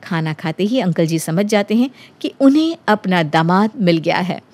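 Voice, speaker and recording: A woman narrating a scripted animated story as its storyteller. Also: lowish voice, moderate pace.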